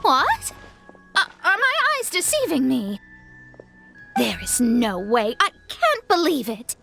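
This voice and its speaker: High-voiced, dramatic young astrologer. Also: female voice, wildly swooping pitch, slow, gravelly, sharp tone, full character voice. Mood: incredulous. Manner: theatrical, haughty, incredulous. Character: dramatic, proud, indignant young woman astrologer. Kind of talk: English anime game dub. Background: game music.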